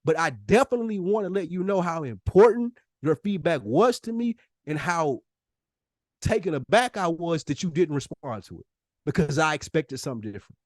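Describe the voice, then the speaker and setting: A man's voice: a little high, animated, moderate pace, no echo, clean studio sound. An American man co-hosting a podcast in casual, slangy, emphatic talk, often acting out imagined dialogue. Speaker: podcast co-host.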